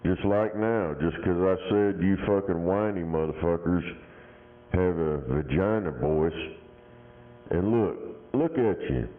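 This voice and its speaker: A man with a deep voice talking crude, combative, mocking banter over a CB radio. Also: measured pace. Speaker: CB radio talker. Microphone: radio handset. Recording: tinny CB radio transmission.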